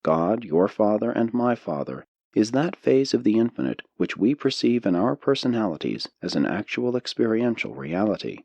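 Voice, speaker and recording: A man narrating a spiritual audiobook in a solemn, measured way. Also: fairly low pitch, vocal fry, darkish tone, dry recording. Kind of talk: scripted narration of a spiritual text.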